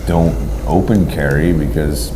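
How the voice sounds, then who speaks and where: A man's voice: extremely low pitch, steady tone, a little slow. A man co-hosting a gun range talk show, talking in a rambling, opinionated way full of ums and restarts. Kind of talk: casual chat. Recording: echoey room with faint noise.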